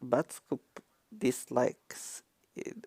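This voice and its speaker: A young man who is not a native English speaker, recording a rambling phone tutorial in halting broken English full of restarts, on a handheld microphone. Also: medium pitch, steady tone, creaky, darkish tone, slightly rough, dry recording. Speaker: tutorial vlogger. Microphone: handheld microphone he keeps touching.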